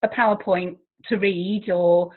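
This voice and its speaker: A woman's voice: on the low side, steady tone, measured pace. Chatty English woman on a tinny line. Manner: chatty, self-deprecating, laughs often. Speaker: guest, languages teacher. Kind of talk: casual interview chat.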